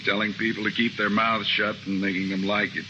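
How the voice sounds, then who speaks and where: An actor playing a brisk, genial ship's doctor in a 1950s radio drama. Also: male voice, lowish voice, little pitch movement, lightly acted. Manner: brisk, genial, bedside-manner tone. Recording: muffled old radio recording with hiss.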